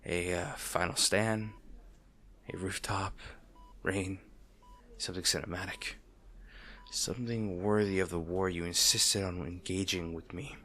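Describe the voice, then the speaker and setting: A man playing a brooding supervillain bitterly attached to his rival, in a hushed, theatrical audio roleplay monologue with deliberate pauses. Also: sits low, steady tone. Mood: bitter, disappointed. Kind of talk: dramatic audio roleplay monologue, addressed to the listener.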